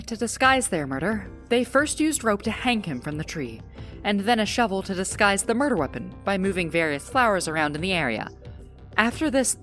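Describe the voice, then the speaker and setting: Audio drama role: logical young woman female actor, composed and methodical, expressive mid voice, faint music behind. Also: medium pitch. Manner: measured, methodical explaining.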